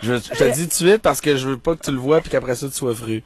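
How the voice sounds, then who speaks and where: A man's voice: mid-range voice, quick pace, darkish tone, slight background noise. A man with a Quebec French accent hosting a casual radio talk show, teasing his guests and laughing often. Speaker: radio host.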